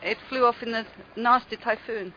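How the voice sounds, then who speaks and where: A woman's voice: on the high side, moderate pace, bright, forceful tone, dull audio, a little hiss or hum. Playful woman chatting at an outdoor market. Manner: playful, mixing English and Cantonese phrases. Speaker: host. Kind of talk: casual chat while shopping at a market.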